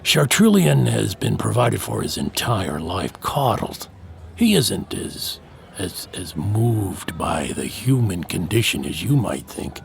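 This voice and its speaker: A sardonic man in an audio drama, low and very gravelly. He dismisses people with swinging, drawled delivery and refuses to be pushed. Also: very animated, slow, a little hiss or hum, heavily acted. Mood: firm, sardonic. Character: wry, dismissive insider man who won't be pushed.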